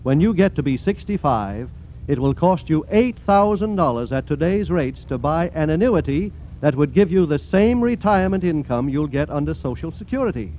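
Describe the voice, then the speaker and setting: Male 1940s sponsor spokesman, American, scripted radio delivery, higher register, faint noise. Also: expressive, moderate pace. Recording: muffled, noisy 1940s radio recording.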